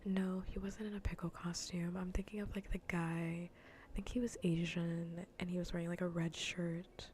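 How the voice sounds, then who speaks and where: A woman streamer chatting playfully and casually in a soft, breathy, often near-whispered ASMR style, close to the microphone. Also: fairly low pitch, flat delivery, normal speed. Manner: playful, rambling, half-whispered casual talk. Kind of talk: soft-spoken ASMR gaming livestream chat.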